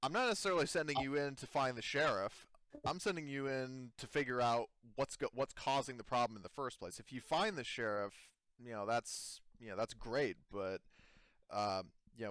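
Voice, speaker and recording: A clean, smooth male voice, recorded dry and close, a game master acting as a colony administrator in a sci-fi roleplaying game. He is halting and evasive, hedging as he pleads his case at an average pitch. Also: fairly bright tone, no room sound, close to an everyday voice. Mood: hedging, persuasive. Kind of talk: improvised tabletop roleplay dialogue.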